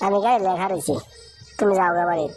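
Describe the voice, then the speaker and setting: A woman's voice: on the low side, fast, natural voice. A woman voicing a chatty young working woman in a village cartoon, with a familiar manner and rural Bangladeshi regional Bengali. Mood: concerned gossiping. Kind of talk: cartoon dialogue in colloquial dialect. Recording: music behind the voice. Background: music.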